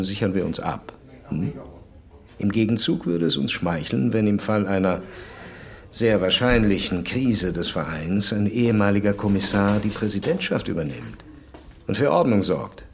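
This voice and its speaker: A man's voice: fairly low pitch, expressive, normal speed, creaky. An actor playing a commanding police commissioner in a radio drama, terse and controlled as he applies pressure. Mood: pressing, controlled.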